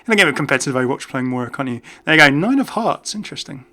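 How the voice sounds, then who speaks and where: A man's voice: medium pitch, lively intonation, racing, pushed and bright. A man giving casual, jokey commentary as a gaming video host. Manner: chatty, jokey.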